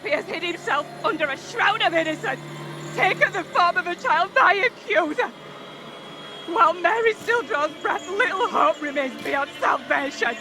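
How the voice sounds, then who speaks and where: Give the trial a woman acting as a grave, defiant villager of the 1600s. She has a very high voice and solemn archaic oratory, with game music clearly audible behind her. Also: poor audio. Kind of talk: dramatic video game acting.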